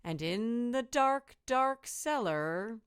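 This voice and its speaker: A woman teacher reading a children's storybook aloud in a deliberate, rhythmic, expressive way. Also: a little high, clear, resonant tone.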